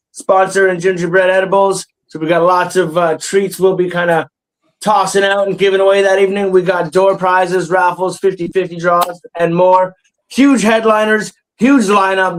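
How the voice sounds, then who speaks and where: A man's voice: high voice, poor audio. A man in his thirties hosting a comedy podcast, talking loosely, laughing and swearing, with lots of fillers. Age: 30s. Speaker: podcast host. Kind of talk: casual comedy podcast chat.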